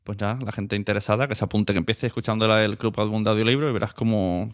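A man's voice: fairly low pitch, steady tone, quick pace. A man hosting a podcast in a casual, conversational interview style. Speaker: podcast host.

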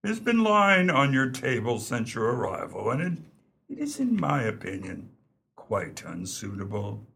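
A man's voice: medium pitch, wildly swooping pitch, moderate pace, creaky, fairly bright tone, slight background noise, full character voice. A man acting in a radio play as an unflappable, deferential English valet who speaks formally and with discreet opinions. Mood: frank, measured.

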